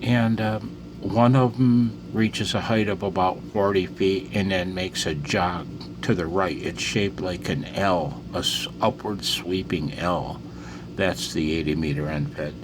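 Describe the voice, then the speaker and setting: A man with a very low voice talks at an unhurried, slow pace, like a hobbyist walking through his gear. His delivery is casual and unscripted. Clear background noise runs behind him in what sounds like a home radio room. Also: steady tone. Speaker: amateur radio hobbyist demonstrating antennas. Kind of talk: casual unscripted demonstration commentary. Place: indoors at a home radio station.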